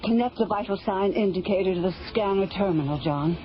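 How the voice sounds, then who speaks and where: An actress playing a brisk, commanding female scientist in an old radio drama. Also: mid-range voice, close to an everyday voice. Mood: urgent. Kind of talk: 1970s radio drama acting. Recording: dull old radio recording with music.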